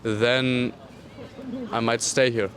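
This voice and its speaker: A man from Germany, answering street interview questions in a casual, hesitant way with many pauses. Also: medium pitch, flat delivery, a bit bright. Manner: hesitant, many fillers and restarts. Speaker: tourist interviewee. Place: city street outdoors.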